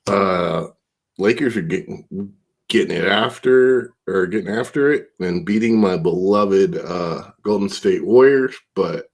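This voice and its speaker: A congested man with a fairly low voice hosts a casual podcast. He rambles loosely and swears freely, in the easy style of a late-night talk show. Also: measured pace. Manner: loose, profane, rambling, hype-man announcing. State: congested, stuffed-up. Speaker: podcast host.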